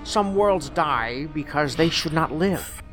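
An actor playing a calm, menacing man who speaks in measured, philosophical riddles, in an audio drama. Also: on the high side, music in the background.

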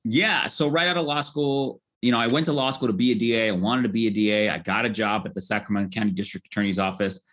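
A man's voice: on the low side, quick pace, hardly any pauses, fairly bright tone. An American man from Southern California, a lawyer and tech executive, talking casually as an interview guest. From Southern California, United States.

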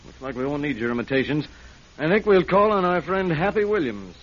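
A stern police detective. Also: male voice, a little high, very animated, a little fast, faint music behind. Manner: hard, pressing interrogator. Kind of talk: 1940s radio acting. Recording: dull hissy old radio recording.

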